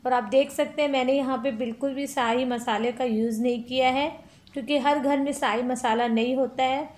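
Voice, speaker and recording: A woman's voice: high-pitched, steady tone, moderate pace, a little mellow. A woman hosting a cooking channel, chatting step by step through a recipe in a home kitchen and calling her viewers friends.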